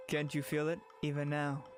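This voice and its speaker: A man voicing a sinister manipulator in a visual novel, coaxing and taunting with insinuating, leading questions. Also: fairly high pitch. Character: sinister, coaxing manipulator goading a girl toward power. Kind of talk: visual novel fan dub, dramatic acting.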